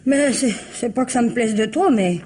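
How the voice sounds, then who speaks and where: An actress playing a deferential, simple household servant in a French radio drama, speaking hesitantly and plainly with an accent from France. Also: female voice, fairly high pitch, moderate pace, darkish tone, breathy edge. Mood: unsure, deferential. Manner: hesitant, plain-spoken, respectful.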